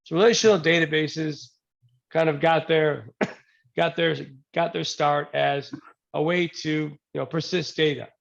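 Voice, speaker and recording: Gravelly man presenting with a cold. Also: fairly high pitch, unhurried, highs cut off. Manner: relaxed, joking, many ums and restarts. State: getting over a cold. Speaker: presenter at a user group talk. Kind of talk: casual technical presentation. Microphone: new desk mic, then a headset.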